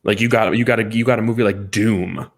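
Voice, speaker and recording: A man's voice: lowish voice, fairly even intonation, a little fast. A man co-hosting a casual film discussion, giving emphatic opinions and repeating points for stress. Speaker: podcast co-host reviewing films.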